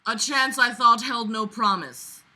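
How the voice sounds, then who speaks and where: A woman's voice: on the high side, measured pace, pushed and bright, slight background noise, rough recording. A young woman reading a book aloud and breaking in with mocking, incredulous, sweary commentary in a theatrical, exasperated manner. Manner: mocking, sarcastic. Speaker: host of a comedic book read-along.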